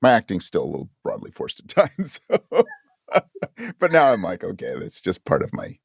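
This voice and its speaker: A man's voice: fairly low pitch, wildly swooping pitch, moderate pace, gravelly, breathy edge, dry recording, studio quality. A man talking as a guest in a casual interview, reflective and self-deprecating. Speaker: podcast guest, actor and audio drama writer.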